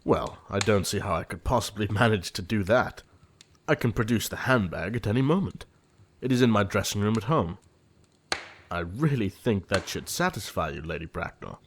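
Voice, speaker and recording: Man playing an earnest, deep-voiced, animated gentleman. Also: moderate pace, slight background noise. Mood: polite pleading. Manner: formal, earnest, fussily indignant. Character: earnest, exasperated young English gentleman suitor. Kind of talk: audio play acting of period comedy.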